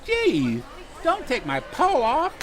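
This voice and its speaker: A man's voice: extremely high pitch, wildly swooping pitch, slow, crowd noise, noisy background, exaggerated voice. A man voicing a cute, innocent and slightly dim teddy bear in a comic audio drama, hesitant and easily sidetracked. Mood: defensive, innocent.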